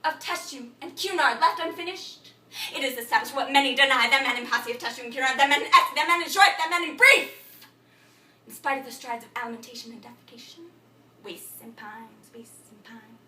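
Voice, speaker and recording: A woman playing a frantic, babbling thinker in an absurdist stage monologue, incantatory and repetitive, swinging between muttering and shouting. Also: high voice, steady tone, quick pace. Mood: rambling, urgent. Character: frantic, babbling thinker reciting a broken learned tirade. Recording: echoey room, distant microphone.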